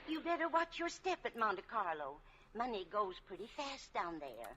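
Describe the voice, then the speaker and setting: Woman acting a fussy old landlady, very animated. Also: mid-range voice, normal speed, a crowd in the background, background noise, natural voice. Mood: chatty. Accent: American. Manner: fussy, motherly, guileless. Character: scatterbrained, kindly elderly landlady. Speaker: radio sitcom supporting actress. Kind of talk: old-time radio comedy acting. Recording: muffled old radio recording.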